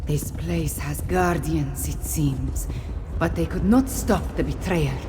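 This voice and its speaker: An actress playing a solemn, regal and devout cat-folk heroine in a video game, measured and reverent. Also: female voice, low-pitched, noisy background. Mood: awed.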